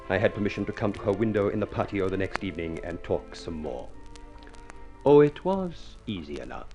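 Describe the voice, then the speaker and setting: An actor playing a homely but smooth-talking suitor in an old radio drama, telling his tale in a flowery, self-satisfied way. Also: fairly low pitch, a little mellow. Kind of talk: old-time radio drama acting and narration.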